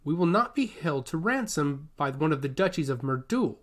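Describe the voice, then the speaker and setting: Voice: mid-range voice, very animated, moderate pace, close to an everyday voice. A narrator playing an old fantasy king, proud and furious. He declaims formally and indignantly, with big swings in intonation. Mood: scornful anger.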